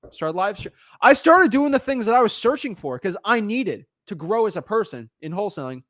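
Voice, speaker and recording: An energetic young man with a high, bright voice, talking fast and animated in a casual conversation. The sound is close and dry, with no room around it. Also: no echo. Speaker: podcast host. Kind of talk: casual chat.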